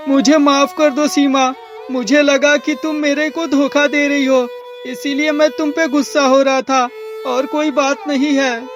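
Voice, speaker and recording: A man's voice: extremely high pitch, level tone, unhurried, muffled recording, over music. A man playing a suspicious, hot-tempered husband in a melodramatic cartoon moral story, speaking emphatically. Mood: pleading remorse.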